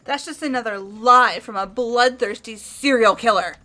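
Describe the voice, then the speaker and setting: Woman as a bright, pushy sporty girl, high and energetic. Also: moderate pace, soft background music. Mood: eager. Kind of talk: fan voice acting of a game.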